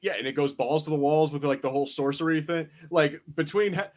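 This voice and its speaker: A man co-hosting a podcast in casual review banter, telling things in slangy, animated fashion and acting out quotes. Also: fairly high pitch, fairly quick. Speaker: podcast co-host.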